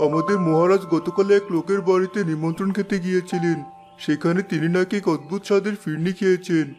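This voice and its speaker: A man's voice: sits high, steady tone, fast, over music, natural voice. A man voicing imperious royal figures in a fairy-tale cartoon, formal and declamatory. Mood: troubled. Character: imperious king and his courtly royal messengers.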